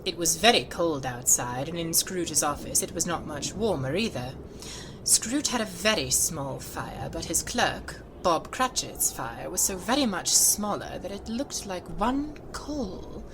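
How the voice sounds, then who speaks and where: A woman reading a classic story as a dramatic, deliberate storybook narrator. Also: on the low side, animated, background noise. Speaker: narrator and producer of an audiobook. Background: loud music.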